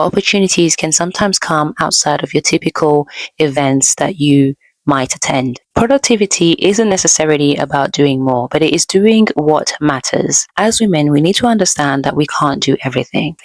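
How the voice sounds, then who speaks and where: A woman's voice: deep voice, no room sound. A young woman originally from the Democratic Republic of Congo, seated indoors and speaking earnestly to camera with encouraging advice for women. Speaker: vlogger, landscape architect. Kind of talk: motivational talk to camera.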